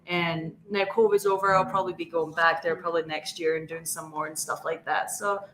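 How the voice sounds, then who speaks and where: A woman's voice: on the low side, fairly even intonation, a little fast, a bit bright, a little hiss or hum, low-quality recording. A woman with a Scottish accent, chatting casually as a guest on a paranormal convention panel, laughing easily and using many fillers. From Scotland.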